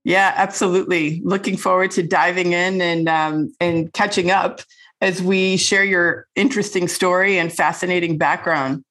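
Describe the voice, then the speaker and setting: A woman's voice: on the low side, fairly even intonation, normal speed, a bit bright. A woman hosting a business podcast, reading a polished intro and then interviewing a guest. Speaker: podcast host.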